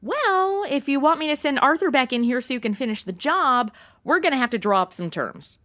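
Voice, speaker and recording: A woman plays a sarcastic modern young woman in a comedy audio drama. Her voice is slightly high and bounces around, slangy and sassy. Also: lively intonation, a little fast, fairly bright tone. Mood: sly.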